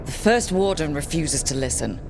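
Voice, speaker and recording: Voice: medium pitch, expressive, moderate pace, background noise, close to an everyday voice. An actor playing a determined young leader in a fantasy game, speaking directly and earnestly.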